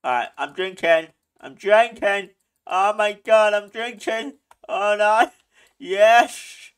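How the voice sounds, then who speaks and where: A man hosting a gaming channel, giving excitable play-by-play commentary, often laughing and yelping in alarm. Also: high voice, slow, clean tone. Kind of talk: live gameplay commentary.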